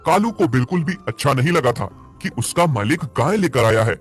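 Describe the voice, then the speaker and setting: A man's voice: medium pitch, lively intonation, fairly quick, husky, faint music behind. A man narrating a scripted moral story as a storyteller.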